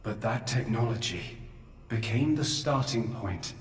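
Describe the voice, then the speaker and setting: A male actor playing an ancient, remorseful creator in a video game, speaking gravely and solemnly in measured monologue. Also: mid-range voice, animated, close to an everyday voice. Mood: solemn explaining. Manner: grave, deliberate, measured delivery. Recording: echoey, distant, under loud music.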